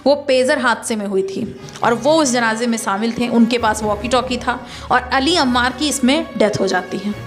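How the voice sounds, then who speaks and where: A woman's voice: high voice, fairly bright tone. A woman presenting a news explainer as scripted narration. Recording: music behind the voice.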